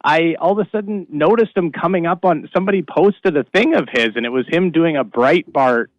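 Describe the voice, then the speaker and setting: A man's voice: a little high, brisk, no echo, highs cut off. A man from Canada, a comedian, telling stories casually as an interview guest. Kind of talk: casual storytelling chat.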